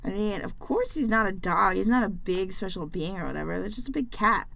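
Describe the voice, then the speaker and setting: A woman voicing an aloof, sarcastic pet cat in an animated dialogue, with a dry, dismissive way of talking. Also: mid-range voice, fairly quick, vocal fry. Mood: scornful, proud.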